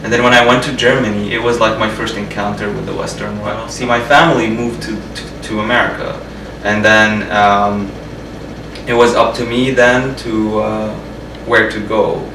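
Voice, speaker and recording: Soft-spoken young man, distant roomy mic. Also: on the low side, flat delivery, moderate pace. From Jordan. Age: 20s. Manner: soft-spoken, hesitant, many restarts and fillers. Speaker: interviewee. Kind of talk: personal interview. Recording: roomy sound from a distant mic with background hum.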